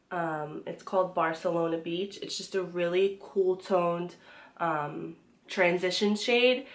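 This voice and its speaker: Young woman, slightly hoarse, casual beauty vlogger, chatty, lots of ums. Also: on the low side. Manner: chatty, with frequent "um" and restarts. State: hoarse from being sick. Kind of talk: casual product review to camera.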